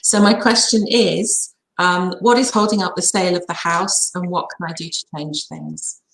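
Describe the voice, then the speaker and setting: A woman's voice: fairly low pitch, steady tone, moderate pace, vocal fry, fairly bright tone. A woman speaking casually as a guest on a livestream. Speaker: guest having a reading. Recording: roomy, echoing call audio.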